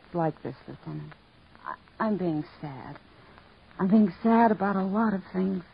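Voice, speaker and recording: A woman's voice: fairly low pitch, lively intonation, creaky, slightly rough, heavily acted. An actress playing a weary, guarded woman who stammers and hesitates, in a 1940s radio drama. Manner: halting, stammering. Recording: lo-fi old radio broadcast.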